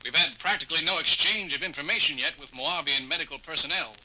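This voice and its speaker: A man plays a gruff starship doctor, blunt and hurried, in a slightly high voice over an intercom. Clear hiss sits behind him on the old record. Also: natural voice. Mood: harried. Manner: blunt, harried.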